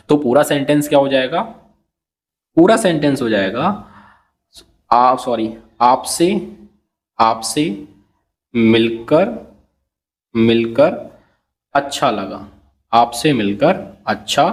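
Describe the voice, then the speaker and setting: Man, unhurried, drawn-out repetition, English tutor explaining phrases. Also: mid-range voice. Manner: slow, repetitive, checks understanding with "ठीक है". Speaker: English teacher. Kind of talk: Hindi-medium English lesson, word by word translation.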